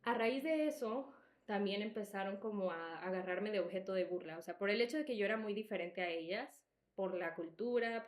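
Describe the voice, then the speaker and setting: The voice is a young woman's, set at an average pitch in a clearly echoey room. Her Salvadoran accent has taken on a Mexican tinge. She hosts casually, telling personal stories in a candid and emphatic conversational style. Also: a little mellow. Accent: Salvadoran softened by Mexican. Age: young adult. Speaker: podcast host.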